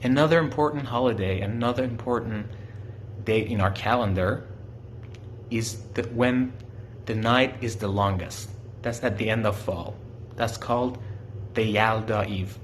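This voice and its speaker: A man's voice: lowish voice, measured pace, a little hiss or hum. A young man from Iran, a native Farsi speaker with an Iranian accent, explaining his country's culture in a simple, friendly way and asking the listener questions. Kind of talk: simple explanatory talk aimed at children.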